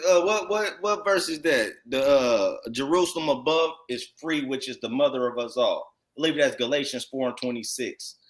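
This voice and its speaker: A man with an American accent and a fairly high-pitched voice teaches scripture informally. He speaks emphatically, circles back over his phrases and keeps checking in with tag questions as he exhorts his listeners. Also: fairly bright tone. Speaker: congregation teacher commenting on read text.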